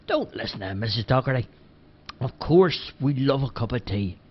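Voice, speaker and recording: A man's voice: medium pitch, very animated, moderate pace, slightly rough. Man voicing a blunt guy giving advice, matter-of-fact, muffled lo-fi sound. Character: sensible grown man, blunt, worldly-wise. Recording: dull lo-fi recording.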